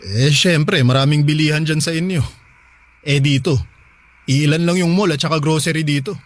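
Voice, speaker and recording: A man's voice: on the high side, moderate pace, close to an everyday voice. A man playing an earnest, polite young husband in a Tagalog radio drama. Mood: worried. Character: earnest young working husband, devoted and anxious.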